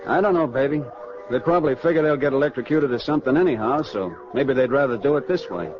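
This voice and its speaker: An actor playing a blunt young American sailor, casual, slangy and knowing, in a 1940s radio drama. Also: male voice, medium pitch, expressive, fast talker, natural voice. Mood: offhand. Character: blunt young sailor talking to his sweetheart. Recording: muffled vintage radio with music behind.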